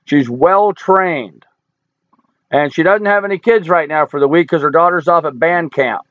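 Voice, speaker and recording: Older male live-stream host, hoarse and folksy, dry lo-fi sound, slightly high voice. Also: normal speed, a bit bright, no room sound. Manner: chatty, greets viewers by name, folksy. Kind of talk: casual live chat reading viewer comments. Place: home in rural Alaska.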